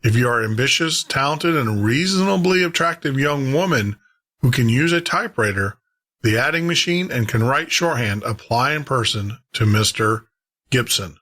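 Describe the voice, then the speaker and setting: Bright-voiced male podcast host. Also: medium pitch, lively intonation, moderate pace. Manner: informal, rambling. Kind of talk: casual, chatty show hosting.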